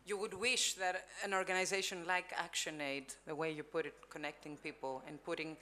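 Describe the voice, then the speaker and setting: Lady asking a panel guest questions, bit halting, bright voice. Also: low voice, flat delivery. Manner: earnest, slightly halting questions. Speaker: moderator. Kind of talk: conference interview questions.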